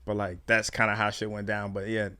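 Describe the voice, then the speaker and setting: Young adult man, low-pitched, smooth clear tone, relaxed slangy guest, dry close sound. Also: brisk, no echo. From born in Ghana, raised in Canada. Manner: laid-back, slangy, swears freely. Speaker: interview guest. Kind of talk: casual interview chat.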